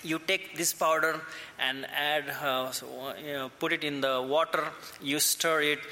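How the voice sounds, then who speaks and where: A man's voice: on the high side, steady tone, a little slow, sharp tone, in a large hall. A man voicing a patient friend explaining. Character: helpful male American friend explaining.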